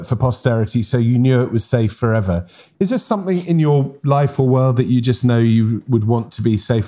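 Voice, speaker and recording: A middle-aged man hosting, asking questions with teasing jokes. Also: mid-range voice. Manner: jokey, teasing, warm mate-to-mate. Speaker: podcast host. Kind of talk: interview questions in casual chat.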